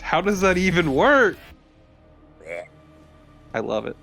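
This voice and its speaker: A man's voice: sits high, sing-song, slow pace, creaky, fairly bright tone. Wry man bantering over faint music. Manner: wry, opinionated, profane. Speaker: co-host, game commentator. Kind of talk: casual banter.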